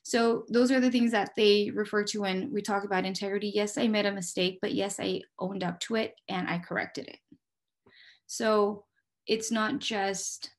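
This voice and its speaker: Female, American accent, medium pitch. Candid interviewee in public safety work, conversational and explanatory. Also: steady tone, moderate pace. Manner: candid, explanatory, poses rhetorical questions and answers them. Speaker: police dispatcher, interview guest.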